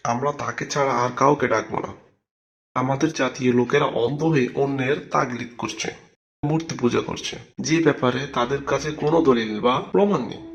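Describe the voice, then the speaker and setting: A man's voice: mid-range voice, fairly even intonation, a little fast, highs cut off, close to an everyday voice. A man voicing a resolute, pious young man who speaks earnestly and firmly in a cartoon. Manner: earnest, firm.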